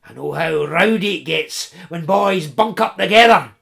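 A man's voice: high-pitched, normal speed, vocal fry, a bit bright, heavily acted. A man voicing a suspicious, grumbling old woman who coughs.

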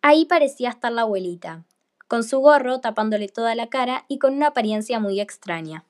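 A woman's voice: a little high, expressive, brisk. A woman reading scripted fairy tale narration for a radio play.

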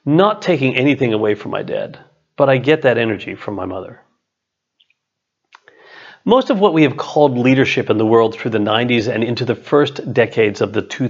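A middle-aged man, an author and coach, reads his own written text as scripted narration in a measured, deliberate way. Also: medium pitch, lo-fi sound. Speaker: author, business and leadership coach.

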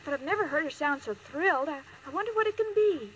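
A sweet, dim, literal-minded young woman with an extremely high voice. She speaks with naive earnestness in a vintage radio comedy, on a noisy old recording with clicks. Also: animated, quick pace. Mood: naive, excited. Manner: naive, earnest, slightly hesitant. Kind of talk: 1940s-50s radio sitcom acting.

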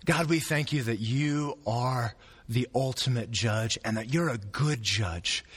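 A man's voice: mid-range voice, fairly bright tone, slightly rough. A man preaching a sermon as pastor, earnest and repeating phrases for emphasis, with a sore throat and a fading voice.

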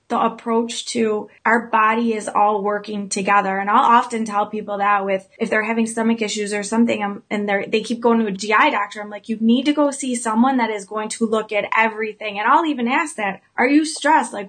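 A woman's voice: on the high side, fairly even intonation, fairly quick, few pauses, fairly bright tone. A woman hosting a podcast interview, eager and affirming, stressing words as she talks. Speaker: podcast host. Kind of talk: casual interview chat.

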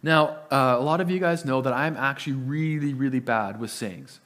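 A man's voice: medium pitch, normal speed, a bit bright. A man preaching a sermon, earnest and often repeating words as he starts a sentence; Italian was his first language. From Italian family. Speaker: pastor.

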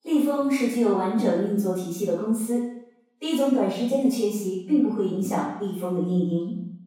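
A woman's voice: medium pitch, lively intonation, moderate pace, warm tone, lightly acted. An actress playing a composed, firm corporate spokeswoman in a radio drama, speaking formally and with measure. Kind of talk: modern radio drama acting. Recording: echoing room, distant mic, faint music.

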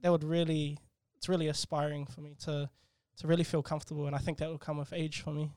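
A man's voice: fairly high pitch, flat delivery, fast talker, dry recording, studio quality. A man in his twenties with a New Zealand accent, a guest entrepreneur talking casually and hesitantly about himself. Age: 20s. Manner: soft-spoken, hesitant, many restarts. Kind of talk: casual interview chat.

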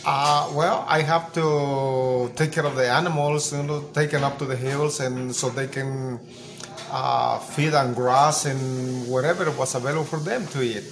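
A man in his sixties from Mexico, a grandfather answering questions slowly and repeating himself in a casual family interview. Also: mid-range voice, steady tone. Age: 60s. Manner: slow, halting, repeats himself. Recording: noisy home recording.

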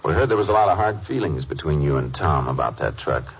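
An actor playing a calm, firm Texas Ranger who narrates and questions tersely in a 1950s radio drama. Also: male voice, a bass voice, gravelly, breathy edge, full character voice. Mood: stern, probing. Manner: terse, measured, authoritative. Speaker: lead detective and narrator. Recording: dull old radio recording.

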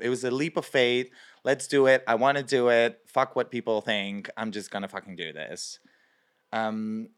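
Young man from Belgium, drag performer, low smooth voice, rambling animated interview talk. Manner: chatty, lots of fillers, animated quoting. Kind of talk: casual interview.

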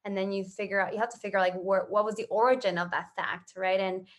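A woman's voice: medium pitch, fairly even intonation, quick pace. Casting: woman from Ecuador, interview guest, mid-range voice, earnest and conversational. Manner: earnest, conversational, often tagging phrases with "right?". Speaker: interview guest, social entrepreneur. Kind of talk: casual interview answers.

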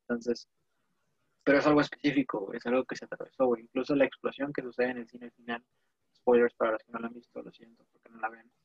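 Man, young adult, Mexican accent, casual chat, slangy, digressive, medium pitch, muffled dry sound. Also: quick pace, creaky, breathy edge, no room sound. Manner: slangy, digressive, animated storytelling.

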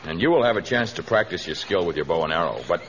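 A resolute, protective jungle hero with a very lively, varied delivery. It is old radio serial acting on a dull, slightly noisy recording. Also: male voice, sits low, quick pace. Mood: kindly, amused. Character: heroic jungle man, kindly, protective, resolute.

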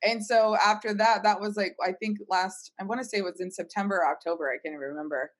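A woman's voice: medium pitch, fast. Woman casually explaining, mid-range voice. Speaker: startup team member.

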